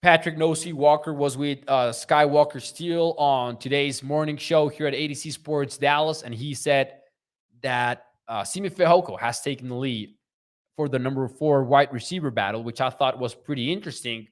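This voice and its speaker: A man's voice: medium pitch, normal speed. A young man from Mexico with a Mexican Spanish accent, hosting a live sports talk stream and reading viewer comments aloud in a conversational way. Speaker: podcast host.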